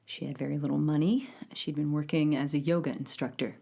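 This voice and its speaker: A woman's voice: sits low, steady tone, fairly quick, gravelly, dark tone, slightly rough. A woman narrating backstory in an audio drama in a matter-of-fact way.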